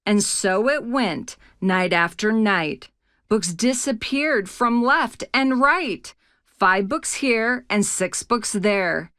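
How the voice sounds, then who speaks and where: A woman narrating a rhyming children's picture book as a read-aloud host, with a measured, expressive storytelling delivery. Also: medium pitch, no echo, clean studio sound.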